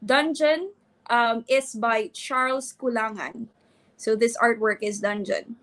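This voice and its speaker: A young woman, co-hosting a live art sale and reading artist bios in a chatty way, with frequent restarts and quick laughs. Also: a little high, fairly even intonation, fairly bright tone. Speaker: livestream co-host.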